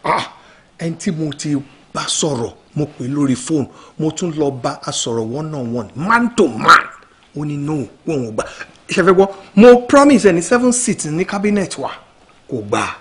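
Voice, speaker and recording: A man's voice: a little high, a little fast, close to an everyday voice. A middle-aged Nigerian actor playing an ambitious politician who wants his party's ticket. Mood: emphatic. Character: ambitious candidate for governor.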